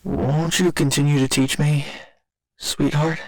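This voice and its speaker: A man playing a gentle, earnest robot boyfriend in an ASMR roleplay, speaking in formal, measured, precise sentences. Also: a little high, fairly even intonation, rough recording. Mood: wondering, affectionate. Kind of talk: ASMR roleplay, scripted intimate monologue.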